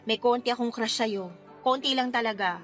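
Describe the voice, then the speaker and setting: A woman's voice: on the high side, moderate pace, natural voice. A woman with a Filipino accent playing a playful, bashful wedding planner in her forties in a radio drama. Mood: flustered, coy. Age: 40s. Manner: playful, teasing banter. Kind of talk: conversational radio drama acting. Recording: music bed under the voice.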